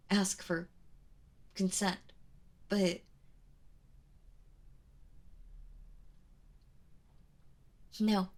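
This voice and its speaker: A woman playing a kind but obsessive, protective yandere in an intimate ASMR roleplay, speaking haltingly and often dropping toward a whisper. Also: mid-range voice, heavily acted. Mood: defensive, earnest. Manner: hesitant, halting, breathy with drops into whispering.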